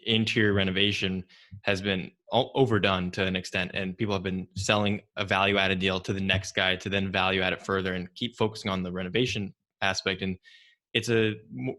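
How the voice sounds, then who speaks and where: A man hosting a business interview in a measured, conversational way. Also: low voice, level tone, pushed and bright. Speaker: interview host, real estate investor.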